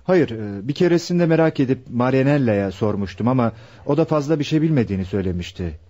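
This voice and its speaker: Give this radio-play role to an earnest young fiancé played by a man. His voice is soft-edged and slightly rough, with a mid pitch. The recording is muffled and dull, like an old broadcast. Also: expressive, fast, close to an everyday voice. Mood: earnest, thoughtful. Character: earnest young fiancé helping a police investigation. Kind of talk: Turkish radio theatre acting.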